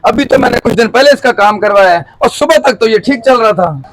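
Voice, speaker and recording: A man's voice: sits high, animated, moderate pace. A man playing a polite, anxious job seeker with a broken motorbike in an acted moral-story skit. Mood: worried.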